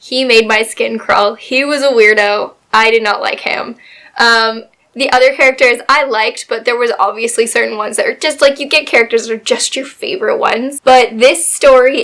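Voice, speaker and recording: A woman with a slightly raspy voice, still getting over a cold, chats about her month's reading. She talks in a bright, somewhat high register, gushing and loose, full of little fillers. It sounds like a relaxed, personal book review. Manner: chatty and gushing, many fillers. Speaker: book video host. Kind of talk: casual book review chat.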